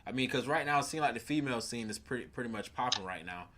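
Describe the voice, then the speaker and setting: Male interviewer, casual and rambling, middle pitch. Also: lively intonation, fast talker, a crowd in the background. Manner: loose questions full of "um" and "uh".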